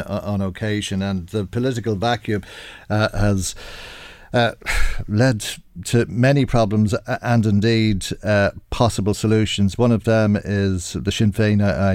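A middle-aged Irish man hosting a radio current affairs interview, speaking in a measured, halting way. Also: on the low side, darkish tone, smooth, no echo, studio quality. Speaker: radio show host.

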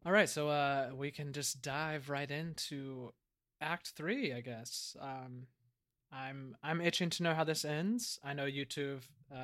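A man's voice: medium pitch, a bit bright. A man hosting a podcast in a casual, chatty style. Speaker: podcast host playing an in-character town leader.

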